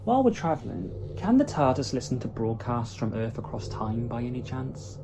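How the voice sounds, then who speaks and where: A man's voice: mid-range voice, very animated, moderate pace. A man playing an eager, inquisitive young travelling companion in a fan audio drama, talking casually. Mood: curious. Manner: casual, conversational. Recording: noisy home recording.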